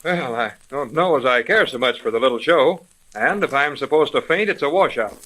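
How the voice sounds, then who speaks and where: A man's voice: mid-range voice, animated, normal speed, a bit bright, natural voice, low-quality recording. An actor playing a cocky, eager young man with a brash, wisecracking manner, in 1930s radio drama style. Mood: skeptical, defiant. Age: young adult.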